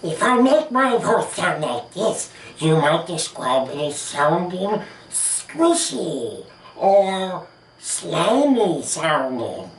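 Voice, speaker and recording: A man acts out a silly, exaggerated voice with wild swoops in pitch. It is stretched out and recorded in a roomy space, away from the mic. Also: sing-song, very slow, far from the mic, slight background noise, exaggerated voice. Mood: slimy, squishy. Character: squishy, slimy voice turning piercingly sharp.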